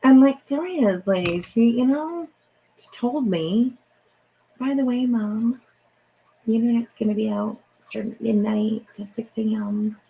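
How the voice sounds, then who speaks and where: Livestream host, woman, middle-aged: creaky voice, somewhat low pitch for casual, rambling late-night chat. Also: measured pace, warm tone, low-quality recording. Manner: chatty, rambling, mild swearing. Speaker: live stream host.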